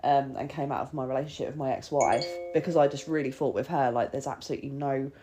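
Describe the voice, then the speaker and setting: Low-voiced woman chatting candidly. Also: fairly even intonation, quick pace, over music. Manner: informal, sweary, frequent "like" and self-quoting. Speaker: podcast host sharing personal experience. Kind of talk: casual, confessional podcast chat.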